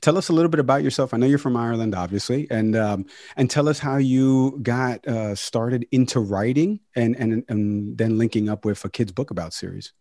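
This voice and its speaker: A man's voice: mid-range voice, fairly quick, dark tone, dry recording, clean studio sound. A man hosting a podcast in casual, friendly chat. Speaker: podcast host.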